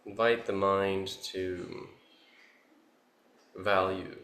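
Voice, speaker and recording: A man leads a guided meditation in a low, even voice. He gives gentle instructions in short phrases, leaving long pauses between them. The recording has a roomy echo around his voice. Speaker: meditation teacher. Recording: roomy echo, faint background noise.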